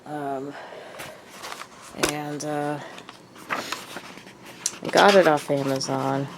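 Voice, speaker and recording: A woman's voice: deep voice, fairly even intonation, drawn-out words, background noise. A woman with a cold, sniffly and hesitant, talking casually in a show-and-tell vlog with lots of ums and uhs. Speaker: vlogger.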